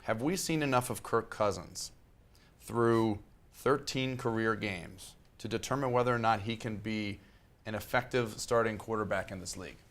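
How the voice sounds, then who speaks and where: A man with a somewhat low, hoarse voice hosts a sports talk show from a TV studio. He runs through the rundown in a loose, conversational way, dropping in fillers as he goes. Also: moderate pace, fairly bright tone.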